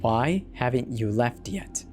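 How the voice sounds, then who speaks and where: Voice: mid-range voice, lively intonation, slow pace, noisy background. A narrator voicing an anonymous stalker's sinister, commanding demands in a horror story reading. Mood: menacing. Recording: background music behind the voice.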